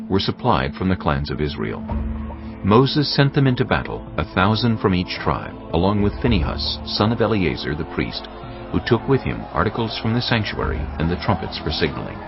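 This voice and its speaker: Deep-voiced male narrator over music. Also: big pitch swings, normal speed, muffled recording. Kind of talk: scripted scripture narration.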